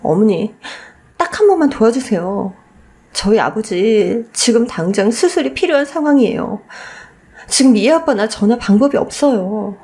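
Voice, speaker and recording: A woman's voice: on the high side, lively intonation, normal speed, darkish tone. A woman performs a wronged daughter-in-law in a radio story. She sounds desperate and emotional, someone pushed to her limit. Her intonation rises and falls dramatically. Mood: pleading. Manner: emotional, imploring.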